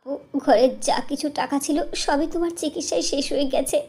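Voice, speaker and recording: A young village girl in a cartoon fairy tale, voiced by a woman, pitched high. She is earnest and defiant, a wronged sister who puts strong emotion into what she says. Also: steady tone, normal speed, warm tone. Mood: distressed.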